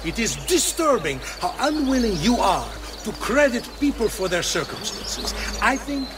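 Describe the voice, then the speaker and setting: An actor playing a cynical, godless conspirator in priest's robes in a video game, with a scornful, argumentative manner. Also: male voice, very high voice, very animated, moderate pace, background noise. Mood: exasperated. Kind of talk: video game cutscene acting.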